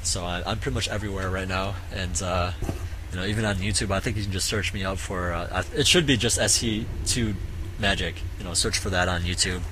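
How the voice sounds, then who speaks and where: A man casually commentating a strategy game match, halting with many fillers, his voice going hoarse. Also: sits low, steady tone, noisy background. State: voice getting hoarse. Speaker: game caster.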